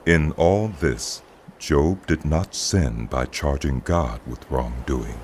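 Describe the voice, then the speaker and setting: Extremely deep, solemn male narrator. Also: takes it slowly, darkish tone, a little hiss or hum. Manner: solemn storytelling. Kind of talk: scripted dramatized Bible narration.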